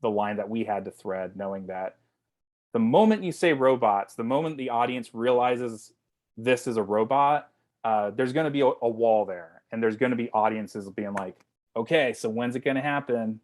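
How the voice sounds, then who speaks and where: A man's voice: medium pitch, moderate pace, darkish tone. A man talking casually and emphatically as a writer-director on a video call Q&A, swearing freely and peppering his talk with "like". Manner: informal, sweary, emphatic, says "like" often. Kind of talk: casual panel Q&A chat.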